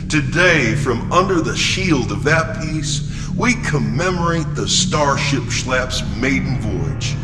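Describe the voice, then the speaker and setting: A man playing a proud statesman giving a lofty, deliberate ceremonial address. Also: a little high. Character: proud male statesman, senator's grandson, giving commemorative address. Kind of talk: ceremonial political oratory. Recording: echoey hall, music behind.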